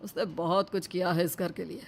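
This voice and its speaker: A woman's voice: fairly low pitch, fairly even intonation, brisk, gravelly, darkish tone. A woman voicing a stern male authority figure in a dramatic moral story, firm and admonishing. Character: stern male authority: doctor and household patriarch.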